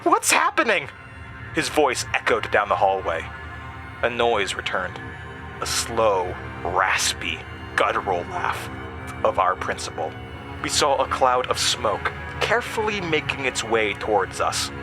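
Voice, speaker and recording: A man's voice: lowish voice, big pitch swings, a little slow. A man narrating a horror audio drama in the first person, with a grave, suspenseful delivery. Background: music.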